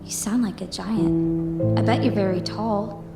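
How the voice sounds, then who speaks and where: Woman doing a soft sweet blind little orphan girl, gentle, echoey room, loud music behind. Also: mid-range voice, unhurried, gravelly. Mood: friendly. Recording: roomy echo with music score.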